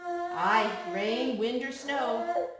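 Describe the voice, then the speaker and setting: A woman reading the lines of a gruff old male farmer in a children's storybook. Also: on the high side, expressive, drawn-out words, far from the mic, over music. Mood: plain, reflective. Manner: gruff, plain rustic talk. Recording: very echoey room with some noise.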